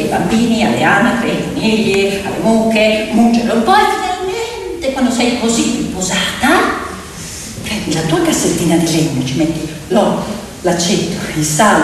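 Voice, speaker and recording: A woman telling a story theatrically, expressive and ironic, sometimes slipping into sing-song declamation. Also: fairly high pitch, slight background noise. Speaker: stage storyteller and actress. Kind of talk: theatrical storytelling with music, two-hander. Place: large reverberant performance space, distant mic. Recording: echoey hall from far off, piano music. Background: music.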